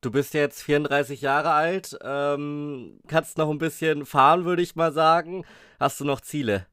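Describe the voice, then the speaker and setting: A man's voice: fairly high pitch, fairly even intonation, measured pace, fairly bright tone, clean tone, dry recording, clean studio sound. A man hosting a podcast with a cold, asking questions and then thanking his guest and addressing listeners eagerly. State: has a cold. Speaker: podcast host. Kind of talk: podcast interview and outro.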